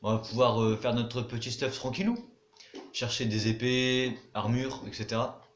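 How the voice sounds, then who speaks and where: A man's voice: mid-range voice, normal speed, echoey room, a little hiss or hum. A young man giving casual commentary over his own gameplay, chatty, muttering to himself and swearing now and then. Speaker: gaming YouTuber.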